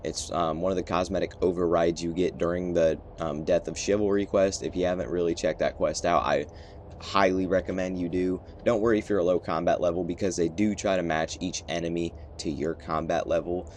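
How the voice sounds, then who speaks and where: Low-voiced man rambling through gameplay commentary. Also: fairly even intonation, brisk, darkish tone, a little hiss or hum. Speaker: gaming video host. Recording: faint music behind.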